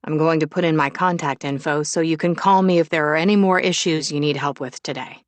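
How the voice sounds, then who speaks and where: Woman voicing a low, calm, reassuring man. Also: brisk, no room sound, lightly acted. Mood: calm, wry. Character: warm, patient, wry man, calmly reassuring. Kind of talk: audiobook character dialogue.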